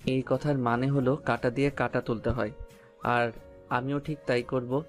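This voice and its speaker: A man's voice: mid-range voice, level tone, normal speed, soft background music, lightly acted. A man playing a teasing, stubborn young lover who speaks amorously in a romantic audio story. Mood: amorous, insistent.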